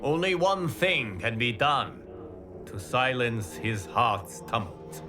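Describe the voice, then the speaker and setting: A calm, solemn male fire god in a video game who speaks slowly and gravely, weighing every formal word. His voice sits in an ordinary middle register. Game music plays clearly behind him. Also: medium pitch. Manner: measured, grave, formal diction. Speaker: game character briefing the player. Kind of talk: solemn game cutscene narration. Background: game score music.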